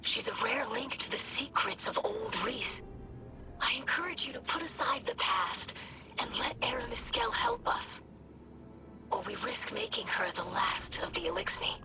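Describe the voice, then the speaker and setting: A woman's voice: a little high, moderate pace, over loud music, close to an everyday voice. Woman as a whispering, earnest diplomat. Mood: urgent. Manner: hushed, persuasive, formal. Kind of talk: dramatic video game dialogue.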